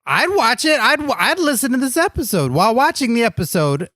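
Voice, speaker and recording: A man's voice: very high-pitched, brisk, dry recording, clean studio sound, heavily acted. A man doing a naive, earnest wrestler sidekick. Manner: naive, earnest, deadpan absurd arguments. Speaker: podcast co-host playing a wrestler persona. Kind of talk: improvised comedy podcast chat.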